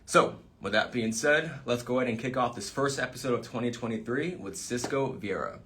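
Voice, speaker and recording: A man's voice: mid-range voice, fairly quick, pushed and bright, husky. A man hosting a live-stream interview, upbeat and enthusiastic, laughing often. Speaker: live show host.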